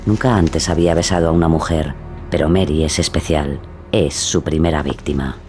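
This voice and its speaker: A man giving dramatic scripted narration for a true-crime story in a measured, grave manner. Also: rumbling low, fairly even intonation, darkish tone, faint music behind.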